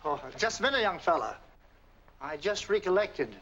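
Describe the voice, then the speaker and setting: An actor playing a crotchety, stingy small-town innkeeper with a rural American accent, grumbling and curt in 1940s film style. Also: male voice, high-pitched, big pitch swings, moderate pace, pushed and bright, full character voice. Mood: grudging. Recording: muffled, noisy old film soundtrack.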